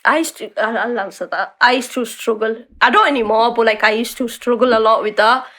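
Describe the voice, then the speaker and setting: Chatty young woman, high-pitched. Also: fairly quick, a bit bright. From born in a refugee camp in Bangladesh, raised in the UK. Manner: chatty, many likes and restarts. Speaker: guest. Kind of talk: casual conversational interview.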